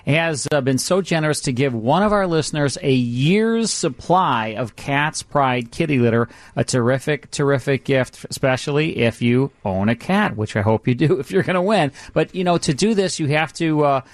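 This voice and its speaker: Higher-voiced male radio host. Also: lively intonation, normal speed, hardly any pauses, no echo. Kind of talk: conversational radio hosting.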